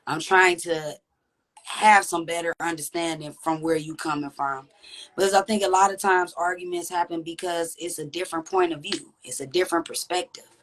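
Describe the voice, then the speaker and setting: A woman with a deep, raspy voice, speaking candidly and reflectively as a podcast guest. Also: steady tone, normal speed, fairly bright tone. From Texas. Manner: reflective, earnest, with frequent "you know what I'm saying". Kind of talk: candid conversational interview.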